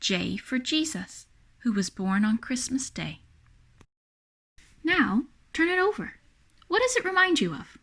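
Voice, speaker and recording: A kindly man who keeps a candy store , as a woman reader plays him. He speaks earnestly, with a gentle, solemn way of explaining, as in a children's storybook. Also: sits high, sing-song, measured pace, vocal fry, long pauses, pitched above the performer's own voice, heavily acted.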